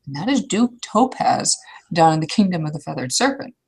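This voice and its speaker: Woman playing a blunt, world-wary troll mentor, lowish voice. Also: very animated, fairly quick, a little mellow, husky, heavily acted. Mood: blunt, cautioning. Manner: blunt, informal, advising. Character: opinionated, world-wary elder troll mentor, advising a newcomer. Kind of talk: improvised in-character roleplay dialogue.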